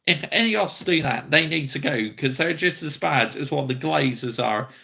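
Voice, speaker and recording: A man in his thirties rants bluntly about his football club, swearing freely as he hosts a fan livestream. His voice sits a bit high, bright and forceful, with a gravelly rasp. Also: lively intonation, moderate pace. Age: 30s. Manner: angry, blunt ranting, sweary. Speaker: football fan channel host.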